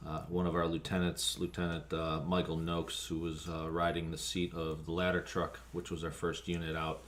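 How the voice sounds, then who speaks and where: An American man, a fire officer being interviewed, recounting events haltingly with frequent ums and pauses. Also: sits low, completely flat delivery, fairly bright tone. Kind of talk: spoken account of an emergency call, interview.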